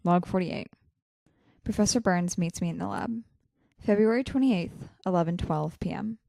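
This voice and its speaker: An actress playing an awkward, studious young chemistry student in an audio drama, hesitant and prone to stammering. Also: female voice, fairly low pitch, normal speed, creaky, warm tone, no room sound. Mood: focused.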